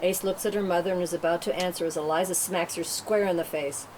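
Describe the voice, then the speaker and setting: A woman's voice: lowish voice, fairly even intonation, fast talker, darkish tone. A woman reading scripted stage directions as narrator at a table read, in a noisy room.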